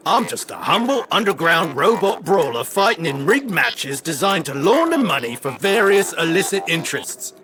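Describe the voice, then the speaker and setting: An actor playing a humble, plain-spoken robot brawler with a colloquial way of talking in an audio drama. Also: male voice, a little high, big pitch swings, normal speed, few pauses, over music. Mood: folksy, defensive.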